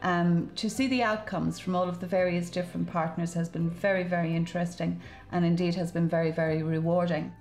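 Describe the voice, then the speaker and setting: A woman in her forties with a fairly low voice answers formally in an interview. She is speaking in a small office. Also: level tone, brisk, a little mellow.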